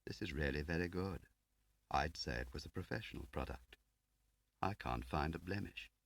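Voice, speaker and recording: A man reading the part of an ageing spymaster, quiet, courteous, precise and probing, in a spy audiobook. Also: very deep voice, normal speed, gravelly, exaggerated voice. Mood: mildly appraising. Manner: understated, precise, questioning.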